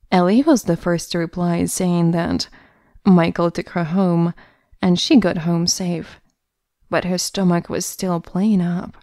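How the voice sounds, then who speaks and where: A woman's voice: lowish voice, warm tone, no room sound, clean studio sound. A woman narrating a scripted story for an audio drama.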